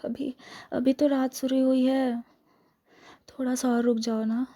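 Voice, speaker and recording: A woman playing a seductive, possessive, lovestruck female vampire in a romantic ASMR role-play, speaking softly and intimately, often in whispers, with slow coaxing repetition. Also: high-pitched, little pitch movement. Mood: coaxing, hungry. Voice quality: soft, breathy, often whispered.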